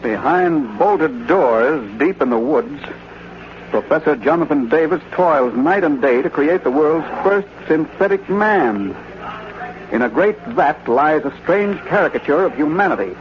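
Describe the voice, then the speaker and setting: A man's voice: on the high side, animated, measured pace. An actor playing a skeptical male newspaperman, punchy and declamatory, in a vintage radio drama. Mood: dramatic. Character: skeptical male newspaperman, plain-spoken colleague. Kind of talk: 1940s-50s radio drama acting. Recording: dull, lightly noisy vintage radio recording.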